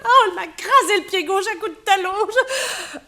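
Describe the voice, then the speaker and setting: An actress playing a plaintive, indignant young mistress in a theatrical 1960s radio crime drama. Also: female voice, extremely high pitch, expressive, normal speed, a loud crowd. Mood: whimpering, hurt.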